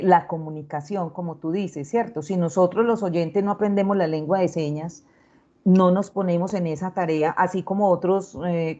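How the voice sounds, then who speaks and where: Measured woman interviewer, warm low voice. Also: muffled recording. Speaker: interviewer on a school radio station. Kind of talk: radio interview.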